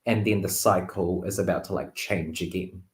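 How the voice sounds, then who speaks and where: A man talking casually and reflectively as a guest tarot reader, expressive and playful, on an online call from a roomy space. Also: sits low, moderate pace. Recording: roomy, echoey sound.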